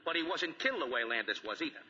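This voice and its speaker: An actor playing a brisk, matter-of-fact American police detective with clipped, slangy talk, in 1940s radio style. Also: male voice, on the high side, very animated, pushed and bright, heavily acted. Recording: muffled, faintly noisy 1940 radio broadcast.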